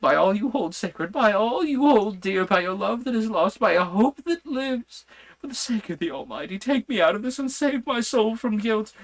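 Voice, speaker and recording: A man voicing a frantic asylum inmate who begs desperately, in a high, strained voice. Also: lively intonation, moderate pace. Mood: desperate pleading. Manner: desperate, high-pitched pleading.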